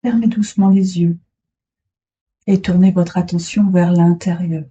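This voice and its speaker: A woman guiding a relaxation meditation as a yoga instructor, soothing and unhurried, speaking in short phrases with long pauses. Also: on the low side, level tone, dark tone, breathy edge, muffled recording.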